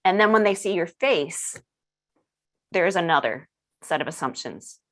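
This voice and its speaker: A woman moderating a panel discussion, speaking in a measured, reflective way. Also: lowish voice, expressive.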